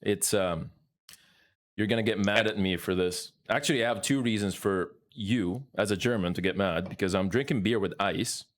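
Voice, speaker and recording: A man's voice: lowish voice, normal speed. A man of thirty from the Philippines, hosting a gaming podcast in a playful, joking way. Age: 30s. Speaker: podcast host. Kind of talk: casual gaming podcast chat.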